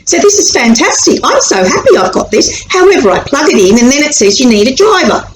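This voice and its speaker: A woman's voice: high-pitched, brisk. Chatty Australian woman in echoey room. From born in Sri Lanka, raised in Australia. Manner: chatty, animated, acts out anxious inner voices. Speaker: anxiety educator on video. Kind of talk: casual explanatory talk to camera. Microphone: camera mic. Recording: echoey room with faint hiss.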